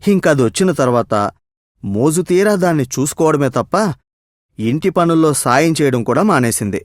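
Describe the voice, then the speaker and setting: A man's voice: fairly high pitch, lively intonation, moderate pace, no room sound, clean studio sound. A man narrating a scripted moral story as its storyteller.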